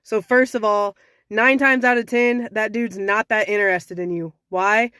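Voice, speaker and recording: A young woman with a slightly raspy voice from sinus trouble, giving frank dating advice in a casual talk to camera. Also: mid-range voice, steady tone, unhurried, fairly bright tone, no room sound, studio quality. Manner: frank, conversational, sassy.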